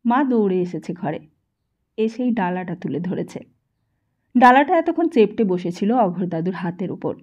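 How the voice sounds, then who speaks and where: A woman's voice: mid-range voice, animated, normal speed, a little mellow, highs cut off. A woman narrator reading a literary story as a scripted audiobook.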